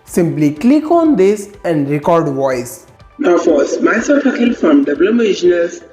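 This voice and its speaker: A woman narrating a scripted software tutorial, giving steady step-by-step instructions with enthusiastic asides. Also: fairly low pitch, measured pace, reverberant, over music.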